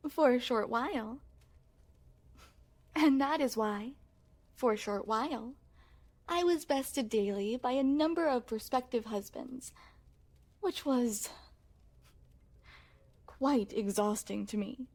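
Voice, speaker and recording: A woman's voice: fairly high pitch, slow pace, pauses often, darkish tone. A woman playing a refined young crown princess in an ASMR roleplay, speaking formally and with coy poise straight to the listener. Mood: proud, poised. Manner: formal, poised, genteel storytelling with coy amusement.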